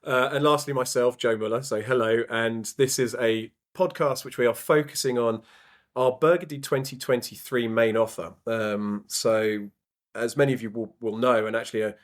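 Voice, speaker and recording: A man hosting a wine merchant's podcast, introducing colleagues in a casual, halting way with many ums and restarts. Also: medium pitch, steady tone. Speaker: podcast host.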